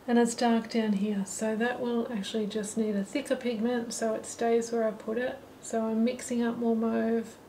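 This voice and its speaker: A middle-aged woman narrating a watercolour lesson while she paints, thinking aloud in a relaxed way. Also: fairly high pitch, normal speed, hardly any pauses, slight background noise. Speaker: watercolour teacher. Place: holiday apartment, at a table indoors. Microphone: camera mic above the table.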